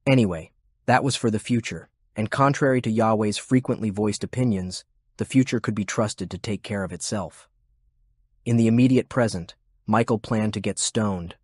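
Low-voiced man narrating, very dry. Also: normal speed, darkish tone, slightly rough, dry recording. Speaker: audiobook narrator. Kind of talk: scripted narration.

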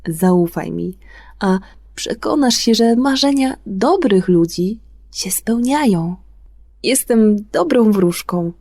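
A woman voicing a kindly, reassuring good fairy godmother for a children's fairy tale, brisk, warm and emphatic. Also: mid-range voice, expressive, no room sound, pitched above the performer's own voice.

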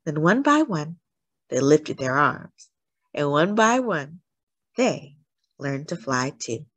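Female storybook reader, slightly low pitch, highly expressive and deliberate, dry recording. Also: no room sound. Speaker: story reader, host of a read-aloud channel. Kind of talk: expressive children's picture book read-aloud.

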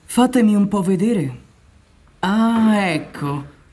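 Odd, laconic mechanic, lively pitch. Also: male voice, slow, full character voice. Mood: matter-of-fact. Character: laconic, odd male village mechanic, quietly delighted. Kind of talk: Italian radio drama acting. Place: theatre stage, radio drama recording.

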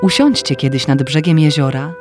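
A woman's voice: low-pitched, moderate pace, darkish tone, faint music behind. A woman reading a scripted fairy tale as narrator.

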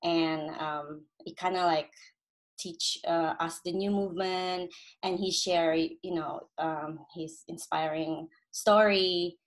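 A woman's voice: fairly low pitch, fairly even intonation, rough recording. A woman in her thirties with an Indonesian accent, speaking informally and hesitantly on a video call. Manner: hesitant, halting phrasing. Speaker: guest from a community group. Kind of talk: informal speech of thanks. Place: bedroom, indoors. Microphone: computer on a video call.

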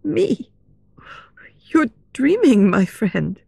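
A woman's voice: on the high side, a little slow, vocal fry, darkish tone, no room sound, pitched above the performer's own voice. A woman playing a shy, emotional young woman who speaks guardedly in an audiobook story. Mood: flustered, defensive.

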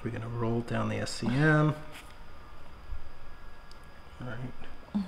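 A middle-aged man speaks at a medium pitch in casual, practical chiropractor talk to a patient. The room is echoey, with clear noise behind him. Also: slow pace. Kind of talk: casual hands-on talk with a patient during treatment. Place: indoor chiropractic treatment room.

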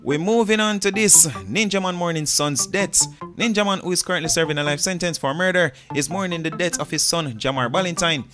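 Jamaican male, entertainment news host, fairly high voice, reading and commenting, music underneath. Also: brisk, hardly any pauses, fairly bright tone.

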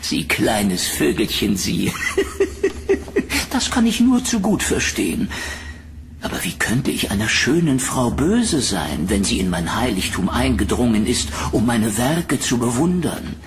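Man acting a theatrical, menacing painter, wide-swinging pitch. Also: unhurried, a little hiss or hum. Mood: sly, flattering. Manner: theatrical, flattering, insistent. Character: eccentric, menacing male painter of macabre nightmare art. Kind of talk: German horror audio drama acting.

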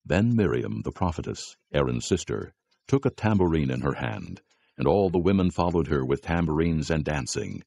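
Very deep, gravelly male scripture narrator. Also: expressive, moderate pace, warm tone.

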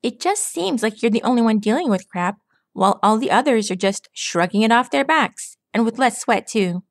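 A woman playing a cocky young female pegasus from a storybook reading, pitched well above the narrator's own voice and teasing in loose slang. The sound is dry and close, with no room around it. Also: expressive, moderate pace, dry recording. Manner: teasing, casual slangy talk.

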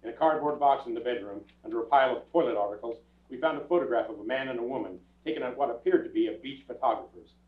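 Fast-talking older police detective, played by a man. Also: mid-range voice, close to an everyday voice. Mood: matter-of-fact narration. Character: calm, matter-of-fact police detective sergeant. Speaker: actor and narrator in a stage radio play. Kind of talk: 1950s police radio drama acting. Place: small theatre stage, recorded from audience camera. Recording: muffled, echoey stage recording from far off.

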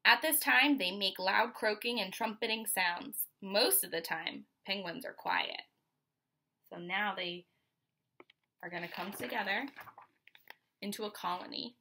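A woman in her twenties with an American accent reads a children's picture book aloud like a teacher, pausing to explain and ask questions. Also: medium pitch, sharp tone. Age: 20s. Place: small furnished room at home, indoors.

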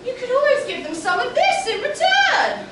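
Doting, over-the-top pantomime mother, very animated, recorded far off in a theatre. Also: extremely high pitch, moderate pace, noisy background. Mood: wheedling. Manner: theatrical, gushing, exaggerated. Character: pregnant, melodramatic, doting pantomime dame mother. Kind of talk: broad pantomime stage acting. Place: on stage in a small theatre. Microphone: far camera from the audience. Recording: distant, echoey theatre hall with music.

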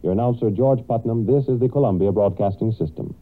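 A man's voice: lowish voice, fast. A man with an American accent announcing network news in a formal 1930s radio style. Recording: 1930s AM radio, phone-like narrow band.

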